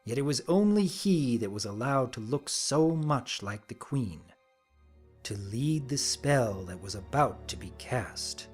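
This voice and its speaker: A man narrating a fantasy story in a deliberate, theatrical dramatic reading. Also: medium pitch, animated, darkish tone, soft background music.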